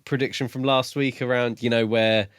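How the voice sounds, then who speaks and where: A man co-hosting a podcast, talking casually about books in a measured, slightly hesitant way. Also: mid-range voice, fairly even intonation, clear, resonant tone, no room sound, clean studio sound. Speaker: podcast co-host. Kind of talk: casual book discussion.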